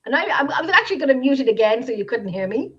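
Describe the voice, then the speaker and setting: A woman's voice: high-pitched, big pitch swings, racing, slightly rough. A chatty, giggly woman with an Irish accent, co-hosting a casual live-stream chat over a home computer microphone. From Ireland. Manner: giggly, chatty, self-deprecating. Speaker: podcast co-host. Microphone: home computer microphone on a video call. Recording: dull lo-fi online call audio.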